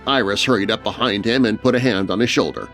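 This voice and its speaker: A man's voice: lowish voice, fast, a bit bright, faint music behind. A man narrating a scary story for children in a dramatic, scripted storytelling style.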